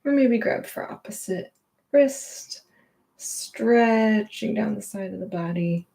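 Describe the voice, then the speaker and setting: A woman teaching gentle movement and meditation in a reassuring, permissive, unhurried manner. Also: mid-range voice, animated, vocal fry.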